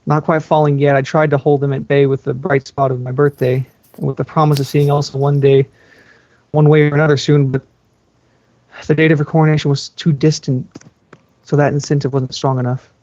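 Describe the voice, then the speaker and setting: A man's voice: fairly high pitch, little pitch movement, fairly quick, darkish tone, dry recording. A man reading a first-person story aloud as an amateur, stumbling over the odd word. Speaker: amateur fan fiction reader. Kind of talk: scripted narration read aloud in first person. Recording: dull lo-fi microphone, dry.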